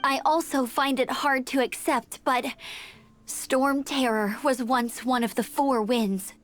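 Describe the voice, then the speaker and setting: A woman playing a spirited young female scout in a fantasy video game, earnest and energetic in her delivery. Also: high voice, slow pace. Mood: serious, wary. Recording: game audio with music behind.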